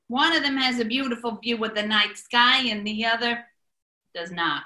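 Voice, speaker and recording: A woman's voice: fairly high pitch, normal speed, sharp tone. An actress playing a brash, sardonic old New York landlady haggling over shabby rooms in a 1940s-style radio comedy. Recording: echoey room.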